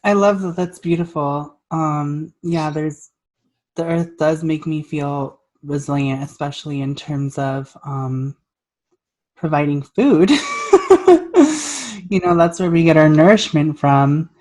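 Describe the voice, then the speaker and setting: A woman's voice: sits low, fairly even intonation, takes it slowly, a little mellow. A woman hosting an interview, upbeat and welcoming, with frequent fillers. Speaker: host.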